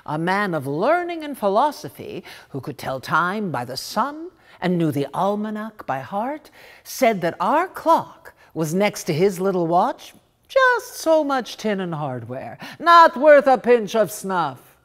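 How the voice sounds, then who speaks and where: A woman reading a literary story aloud in an expressive, theatrical and deliberate manner. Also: mid-range voice. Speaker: story reader.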